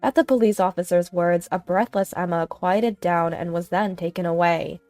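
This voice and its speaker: A woman's voice: lowish voice, moderate pace, a little mellow, no echo, faint music behind. Woman narrating a story, dry close sound. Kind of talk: scripted first-person story narration.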